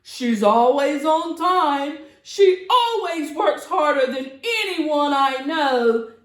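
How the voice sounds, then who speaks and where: A woman puts on a jolly old Santa from a storybook, loud and hearty. The words are proclaimed proudly and without hurry, in a roomy echo. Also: high-pitched, lively intonation, slow. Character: Santa Claus, jolly old man praising his sister. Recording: roomy, echoey sound.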